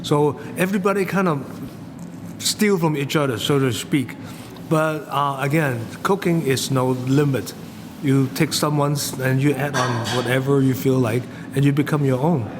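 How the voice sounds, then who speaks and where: A man's voice: mid-range voice, normal speed. A middle-aged man from Hong Kong with a Hong Kong accent, a chef and teacher talking casually in a rambling, hesitant way. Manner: rambling, hesitant, non-native phrasing. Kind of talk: casual interview answers and explanation. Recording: noisy, long-reverberant room.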